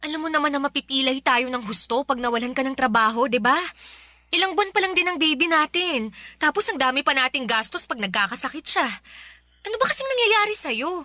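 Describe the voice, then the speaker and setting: A woman's voice: high voice, lively intonation, brisk, sharp tone, dry recording. A woman playing an exasperated, nagging young wife and mother in a Tagalog radio drama, complaining emphatically. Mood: worried, scolding.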